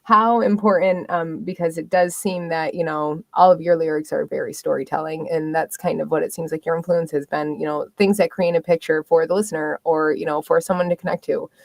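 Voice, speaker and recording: A woman's voice: deep voice, fairly even intonation, a little fast, creaky, few pauses, no echo. A woman, interviewing in a casual, informal way as a podcast host.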